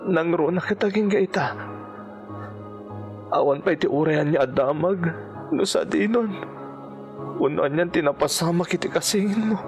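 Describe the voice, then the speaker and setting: An actor with a Filipino accent playing a grieving husband in an emotional, pleading radio drama performance. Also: male voice, on the high side. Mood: sorrowful. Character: anguished, grieving husband of a missing wife. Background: music.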